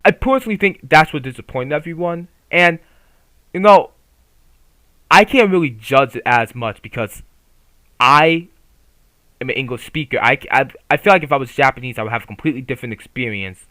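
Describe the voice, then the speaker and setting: A man's voice: mid-range voice, fairly quick, bright, forceful tone, dry recording, low-quality recording. A man giving casual, opinionated commentary on a manga, swearing freely and rambling with restarts. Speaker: YouTuber reviewing a manga.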